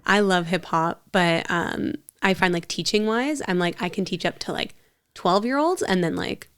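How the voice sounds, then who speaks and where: A woman's voice: fairly low pitch, normal speed, a bit bright, smooth. A woman co-hosting a podcast in casual chat, talking informally and peppering it with "like". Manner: informal, frequent "like" as filler. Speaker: podcast co-host.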